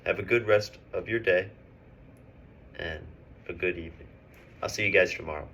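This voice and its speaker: A man's voice: deep voice, normal speed, fairly bright tone, lo-fi sound. A man acts as a showy male newsreel host. He projects in a polite, sympathetic, presentational manner, with faint noise behind the voice. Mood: upbeat. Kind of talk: mock news presenting.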